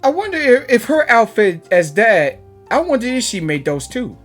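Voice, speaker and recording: A woman's voice: fairly high pitch, big pitch swings, normal speed. A woman giving casual, rambling, giggly reaction commentary on a video game. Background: game music.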